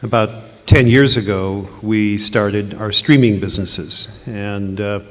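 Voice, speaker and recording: A man who is a company chairman, speaking on a panel in a measured, matter-of-fact way. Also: on the low side, a bit bright. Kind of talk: conference panel talk. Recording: reverberant conference hall.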